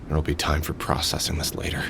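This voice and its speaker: A man's voice: extremely low pitch, completely flat delivery, fast talker, gravelly, background noise. An actor playing a weary, sardonic middle-aged man who broods and mutters to himself in a video game. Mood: tense, weary. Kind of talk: video game voice acting, brooding inner monologue and dialogue.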